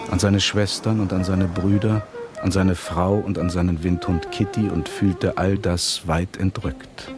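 An actor playing a grave narrator in a radio play, measured and literary, speaking standard German. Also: male voice, sits low, natural voice. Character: grave male narrator of a shipwreck tale.

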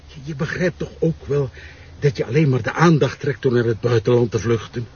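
An actor playing a gruff, controlling older man, in a radio play. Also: mid-range voice, a little fast, darkish tone. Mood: firm. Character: older man, ill, cigar-smoking and commanding. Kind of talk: radio drama acting. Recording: dull lo-fi recording, faint noise.

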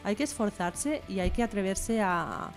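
A woman's voice: medium pitch, lively intonation, measured pace, faint music behind. Middle-aged Barcelona woman, chatty interview guest. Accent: Spanish from Barcelona. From Barcelona, Spain. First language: bilingual Catalan and Spanish. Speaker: guest writer. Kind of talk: informal interview conversation.

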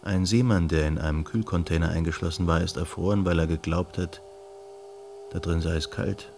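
A measured, thoughtful male investigator in a radio drama. His deep voice has plenty of fry and an understated delivery, over a faint hum. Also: fairly even intonation, darkish tone. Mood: calm, pensive. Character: unhurried, thoughtful male investigator, storytelling sleuth.

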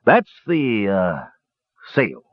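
A male narrator plays a blustering dwarf sea captain from a fantasy storybook, gruff and husky. His pitch swings widely as he hedges and booms in salty sailor talk, in a dry, slightly dull recording. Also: huge pitch swings, unhurried, dry recording, exaggerated voice. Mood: embarrassed mumbling.

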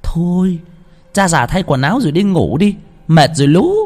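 A man's voice: on the high side, lively intonation, moderate pace, darkish tone, pitched above the performer's own voice. A man voicing a grumpy, cursing old village wife who scolds her husband in a ghost story. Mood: scolding. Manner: nagging, cursing.

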